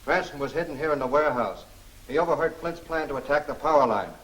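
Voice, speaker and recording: Quick, higher-voiced 1940s gangster underling played by a man. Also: fairly bright tone, lightly acted. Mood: urgent report. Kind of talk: 1940s film serial acting. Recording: slightly noisy old 1940s film soundtrack.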